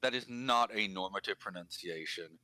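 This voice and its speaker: A man with a lowish, bright-edged voice talks casually and precisely. He picks his words with care, and his humor is dry and wry. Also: normal speed, no room sound. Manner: articulate, wry, talks about pronunciation. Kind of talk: casual conversation.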